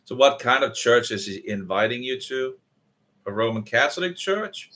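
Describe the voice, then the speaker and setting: A man from Denmark with a Danish accent, talking in halting, rough-edged speech with restarts on a casual video call. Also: medium pitch, animated, bright, forceful tone. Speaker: video chat participant.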